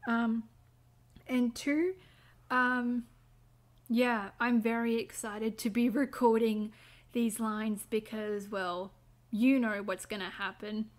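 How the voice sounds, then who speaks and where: A woman's voice: on the high side, little pitch movement, takes it slowly. A woman, an amateur voice actor, chatting casually and a little hesitantly between takes.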